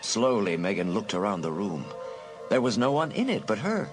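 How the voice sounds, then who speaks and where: A man's voice: lowish voice, big pitch swings, moderate pace, music in the background. A man narrating a suspense story as a dramatic, scripted audiobook.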